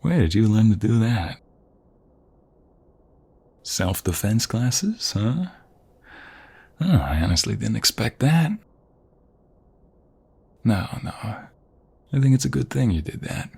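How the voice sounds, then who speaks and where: A man playing a protective, affectionate mobster fiancé in an intimate ASMR roleplay, with a husky, breathy voice that often drops toward a whisper. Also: fairly low pitch, big pitch swings, darkish tone, exaggerated voice. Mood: warm, playful. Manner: affectionate, teasing, slow and murmuring. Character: protective, tender mobster fiancé soothing his beloved.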